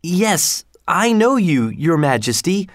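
Brief: a man doing a scared pauper boy, high and pleading, storybook reading. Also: big pitch swings, slow pace, no echo, clean studio sound. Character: frightened poor boy, humble and pleading.

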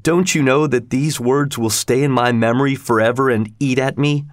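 A man's voice: mid-range voice, steady tone, moderate pace, no room sound, studio quality, close to an everyday voice. A man voicing a tormented, passionate male lover from a storybook, brooding and vengeful, with an intense, anguished delivery.

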